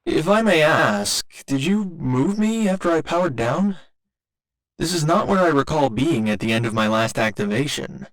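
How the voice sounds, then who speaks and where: A man's voice: on the high side, animated, moderate pace, lightly acted, rough recording. A man voicing a polite, earnest robot boyfriend in an ASMR roleplay, speaking in formal, precise and naively literal language. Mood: curious, polite. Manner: formal, precise, careful wording with occasional glitchy stutters.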